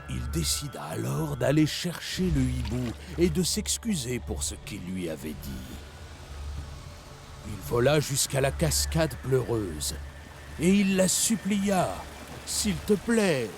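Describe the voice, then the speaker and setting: A slow, gentle cartoon storyteller. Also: male voice, on the high side, creaky, exaggerated voice. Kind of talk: French cartoon dubbing. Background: cartoon music.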